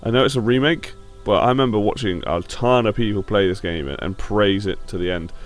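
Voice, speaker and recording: Low, slightly croaky man over music. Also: fairly quick. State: sore throat, slightly croaky. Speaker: gaming show host. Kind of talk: casual opinion commentary on video games.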